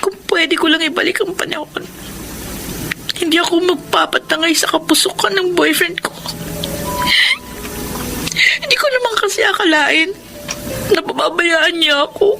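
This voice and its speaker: A frightened, regretful young Filipina in a radio drama. Her voice is very high and fretful, with clear noise behind it. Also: normal speed, pauses often. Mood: regretful worry. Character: troubled young pregnant woman, fearful, regretful younger sister.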